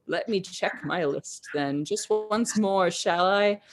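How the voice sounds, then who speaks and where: A woman voicing a sly, gloating male Santa who speaks slowly and hisses, then booms. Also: natural voice. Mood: sly menacing. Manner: slow, hissing, then booming.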